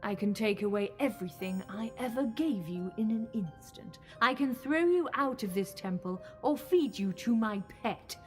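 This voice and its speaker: A woman's voice: on the high side, animated, faint music behind, close to an everyday voice. A woman playing an imperious, scheming false goddess in an audio drama, commanding and condescending. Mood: commanding menace. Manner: commanding, slow condescending endearments.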